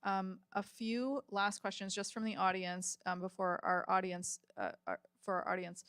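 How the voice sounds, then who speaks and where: A woman's voice: medium pitch, animated, normal speed, a bit bright, smooth, no echo, studio quality. A woman moderating a live panel discussion, chatty and full of ums and restarts as she reads audience questions. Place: hotel event room with live audience.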